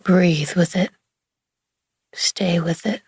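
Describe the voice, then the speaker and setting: A woman leading a guided relaxation meditation in a gentle, soothing, hushed manner with long pauses between phrases. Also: fairly low pitch, steady tone, husky, no echo, clean studio sound. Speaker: yoga nidra meditation guide.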